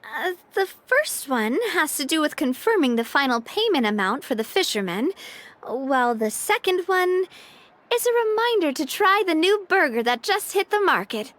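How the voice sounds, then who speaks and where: A woman playing a spirited young female leader in a video game, earnest and breezy, with expressive delivery. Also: high-pitched, normal speed. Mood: sheepish.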